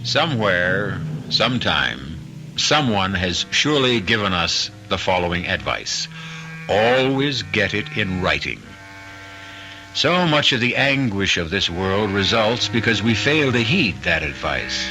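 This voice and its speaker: Low, dramatic male radio narrator over music. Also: sing-song. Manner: dramatic, deliberate pauses. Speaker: host and narrator. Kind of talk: theatrical scripted radio mystery narration.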